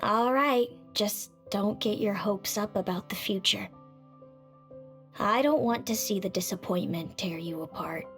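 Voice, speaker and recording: A woman acting as a resigned, fatalistic young seer in a video game, speaking in a solemn, measured, weary manner. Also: medium pitch, faint music behind, close to an everyday voice. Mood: warning.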